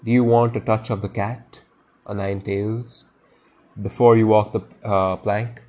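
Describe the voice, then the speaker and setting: A man's voice: on the low side, level tone, measured pace, darkish tone, lightly acted. A man voicing a villainous storybook pirate captain, brazen and mocking, declaiming in a taunting sing-song. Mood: menacing. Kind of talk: storybook character voice.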